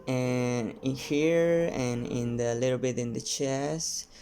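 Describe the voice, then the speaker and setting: A painter from Mexico with a Mexican Spanish accent, his first language Spanish, talking casually and haltingly to camera with many restarts. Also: mid-range voice, steady tone, warm tone, soft background music. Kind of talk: casual vlog talk while painting.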